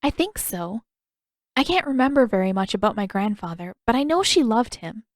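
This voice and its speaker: Earnest, headstrong teenage girl, high voice, acted by a woman. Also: very animated, normal speed, no echo, clean studio sound. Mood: candid, earnest. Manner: pressing, candid, questioning. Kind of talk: audiobook character dialogue.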